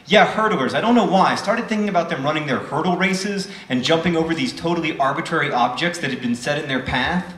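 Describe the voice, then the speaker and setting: A man's voice: a little high, quick pace, few pauses, a bit bright, distant mic, pitched above the performer's own voice, lightly acted. A man voicing an eager, charming, intense teenage boy in a live book reading, with emphatic, declamatory delivery. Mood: excited, emphatic. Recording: roomy live event, faint background noise.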